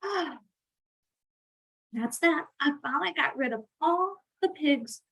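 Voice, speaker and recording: A spirited young schoolgirl from a picture book , acted out by a woman. She is high-voiced and bossy, exclaiming and drawing her words out for emphasis. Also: lively intonation, slow pace, creaky, a bit bright, heavily acted. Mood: satisfied.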